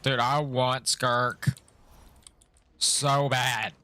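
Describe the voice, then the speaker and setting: A man's voice: medium pitch, little pitch movement, extremely slow, pushed and bright, no echo. A young man chatting casually on a game stream in a slangy, playful way, muttering asides to himself. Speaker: live streamer.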